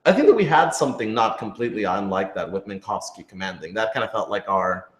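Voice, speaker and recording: A man with a fairly low voice talks in an echoey room. He is a show creator answering fan questions in a casual panel, thinking out loud and rephrasing his points as he goes. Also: lively intonation, fast talker. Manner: thinks aloud, hedging and rephrasing. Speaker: radio drama creator, writer and director answering fan questions. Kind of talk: informal panel Q&A. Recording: roomy, echoey room sound.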